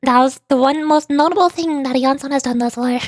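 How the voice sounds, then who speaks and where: A woman streamer chatting casually about a video game in a rambling, playfully exasperated way, often halting and repeating words. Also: high voice, dry recording. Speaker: streamer, VTuber. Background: background music.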